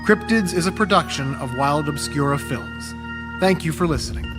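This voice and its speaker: A male podcast announcer reads scripted credits. His voice is a little higher than most men's. Music plays clearly behind him. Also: moderate pace.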